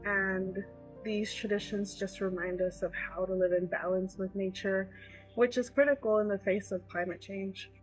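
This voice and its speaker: A woman in her twenties speaks in a measured, reflective way, pausing now and then as she gathers her thoughts. Soft music plays quietly beneath her voice. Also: medium pitch, fairly even intonation, low-quality recording. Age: 20s. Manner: thoughtful, with frequent fillers. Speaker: interviewee. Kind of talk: documentary interview.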